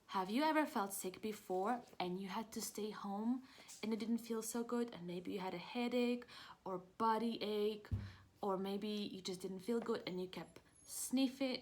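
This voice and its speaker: A woman reads a children's picture book as a preschool teacher would. She takes it very slowly at a medium pitch, asking simple questions and encouraging her young listeners. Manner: encouraging, asks questions and pauses for answers.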